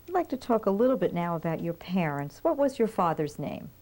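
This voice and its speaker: Female interviewer, measured and methodical, mellow voice. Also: lowish voice, sing-song. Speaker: oral history interviewer. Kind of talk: structured interview questions.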